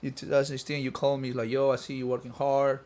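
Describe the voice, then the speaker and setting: A man from Peru chatting casually in an animated, slangy way. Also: mid-range voice, fast talker. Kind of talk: casual podcast chat.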